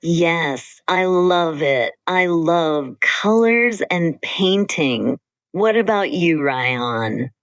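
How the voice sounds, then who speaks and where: A woman co-hosting an English-learning podcast, speaking slowly and carefully in simple scripted sentences. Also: on the low side, lively intonation, dry recording, studio quality. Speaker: podcast co-host.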